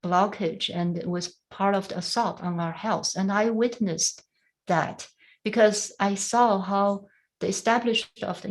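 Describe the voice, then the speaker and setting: A middle-aged woman from China with a Chinese accent, sharing a reflective personal story in a soft, halting way with many restarts. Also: mid-range voice. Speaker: panel speaker.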